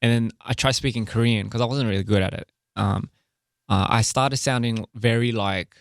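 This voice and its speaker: A young man from Australia speaking in a laid-back, halting way, often pausing to search for words, as a musician guest in a casual radio interview. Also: medium pitch, vocal fry, dry recording, studio quality. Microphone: studio microphone.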